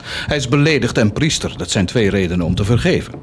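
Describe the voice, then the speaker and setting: A man's voice: mid-range voice, animated, fairly quick, a little hiss or hum, close to an everyday voice. A man acting a ruthless, cynical military commander in a radio play, teasing and ironic with theatrical delivery. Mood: cold, ruthless.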